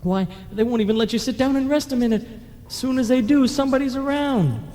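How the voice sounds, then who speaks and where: A man's voice: very high-pitched, fairly quick, mellow. A man playing an anxious, good-natured ghost with a plaintive, folksy manner in an old-time radio comedy. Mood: worried, plaintive. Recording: reverberant radio play on analogue tape.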